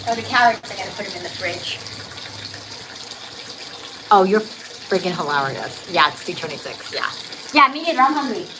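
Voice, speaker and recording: A woman's voice: medium pitch, lively intonation, normal speed, pauses often, background noise. A woman with a New Jersey accent and a slight lisp, chatting casually on a livestream while she cooks and does dishes in her kitchen. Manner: chatty and upbeat, hums and sings along. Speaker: livestream host. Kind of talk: casual livestream chat while cooking and cleaning. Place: home kitchen with running water. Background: tap water running.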